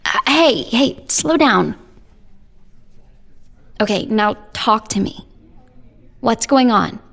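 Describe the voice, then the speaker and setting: A woman's voice: fairly high pitch, animated, slow pace, creaky, a bit bright, exaggerated voice. A woman playing a loyal, concerned teenage girl, talking casually and supportively as a best friend in an audio drama. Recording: music under the voice. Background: music.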